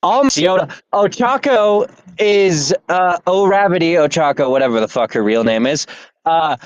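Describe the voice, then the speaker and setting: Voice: medium pitch, animated, normal speed, no echo, highs cut off. A speaker in casual podcast banter, rambling, repeating phrases and swearing freely. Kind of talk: casual chat, podcast banter about pop culture.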